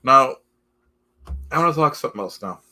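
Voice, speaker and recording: A man hosting a casual live stream, halting and repetitive, losing his voice, forever addressing "folks". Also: mid-range voice, animated, sharp tone, faint music behind. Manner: repetitive, keeps saying "folks" and "okay"; halting, restarts often.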